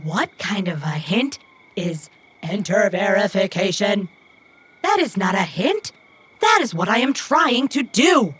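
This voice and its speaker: Slow-talking, stilted, earnest young runner. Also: female voice, mid-range voice, big pitch swings, fairly bright tone, slightly rough, heavily acted. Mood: indignant. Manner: formal, stilted, precise phrasing without contractions. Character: earnest, literal-minded young female runner, childlike and stubborn. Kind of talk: audio drama acting.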